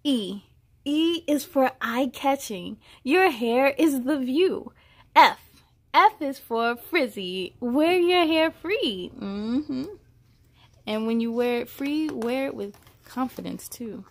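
Female story-time host, higher-pitched, unhurried delivery, encouraging read-aloud for kids. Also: expressive. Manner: encouraging, affirming, addresses young listeners directly. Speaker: host reading aloud for children. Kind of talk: children's picture book read-aloud with casual comments.

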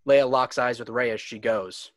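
A man's voice: medium pitch, steady tone, normal speed. A man reading screenplay stage directions as dramatic scripted narration.

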